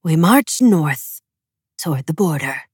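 A woman's voice: on the low side, a little slow, slightly rough, no room sound, clean studio sound. A woman voicing a ruthless male preacher from a storybook, declaiming firm and commanding.

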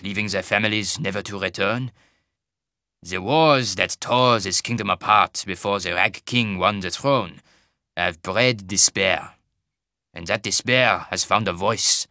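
A man voicing a wide, fearful male wagon master who speaks slowly and gravely in a thick foreign accent. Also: deep voice, no room sound, clean studio sound, heavily acted. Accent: foreign, thick (put on). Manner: slow, grave, hushed warning.

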